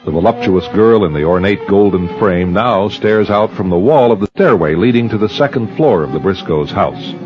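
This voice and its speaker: A solemn narrator of eerie radio tales. His voice is low, reading scripted drama narration. Music plays clearly behind him in a slightly dull recording. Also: animated, moderate pace, few pauses. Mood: solemn, ominous.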